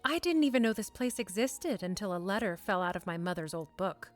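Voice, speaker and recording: A woman's voice: mid-range voice, animated, a little fast, soft background music, voice pushed up, lightly acted. A woman voicing a nervous, earnest teenage girl newcomer, a little defensive, in a fantasy story.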